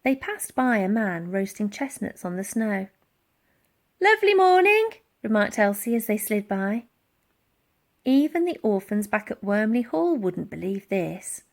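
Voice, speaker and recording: A woman reading a children's storybook aloud in a dramatic, expressive narrating style. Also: mid-range voice, measured pace. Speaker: audiobook reader.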